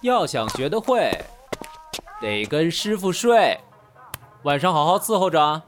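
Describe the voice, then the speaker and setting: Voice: medium pitch, very animated, a little slow, music in the background. A young adult actor playing an impish, fawning joker apprentice in a Chinese audio drama.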